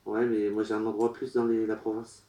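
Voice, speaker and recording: A man working as a medium at a public séance, relaying messages in short, broken, halting phrases. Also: on the low side, completely flat delivery, mellow. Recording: roomy echo.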